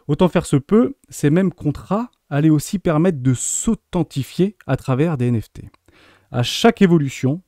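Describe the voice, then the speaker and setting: Mellow-voiced French-speaking male host. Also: mid-range voice, no echo, clean studio sound. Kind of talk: scripted interview intro then questions.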